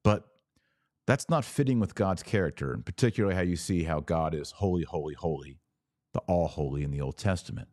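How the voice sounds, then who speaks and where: A man's voice: low-pitched, brisk, a little mellow, dry recording, clean studio sound. A man hosting a religious podcast, explaining in an emphatic monologue with rhetorical questions and repeated key words. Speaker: podcast host.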